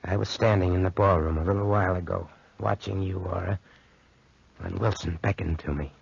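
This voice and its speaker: An actor playing a haunted, guilt-ridden young man, halting and anguished, with a raspy voice, in 1940s radio drama. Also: sits low, steady tone, heavily acted. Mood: uneasy recounting. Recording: dull 1940s radio recording.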